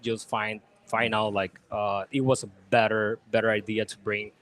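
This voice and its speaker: A man from Venezuela being interviewed about drinks at a restaurant bar, pausing often to find his words. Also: lowish voice, level tone, a bit bright. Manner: halting, searches for words, polite. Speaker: bartender. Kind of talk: casual interview.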